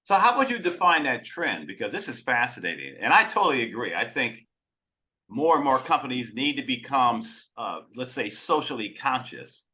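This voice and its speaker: A man interviewing casually as a podcast co-host, deliberately stressing key words and rephrasing his questions. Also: mid-range voice, very animated, bright, forceful tone.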